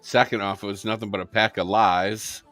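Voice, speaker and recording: A man's voice: fairly low pitch, little pitch movement, moderate pace, a bit bright, faint music behind. A man in his fifties co-hosting a casual chat, blunt and crude, with lots of swearing. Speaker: co-host.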